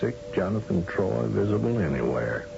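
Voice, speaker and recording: An actor playing a shrewd, folksy, commanding governor of a space colony in a 1980 radio drama, with hesitant asides and brisk orders. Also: male voice, fairly low pitch, very animated, vocal fry, over music, heavily acted. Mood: shrewd, confiding. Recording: dull lo-fi old radio broadcast recording.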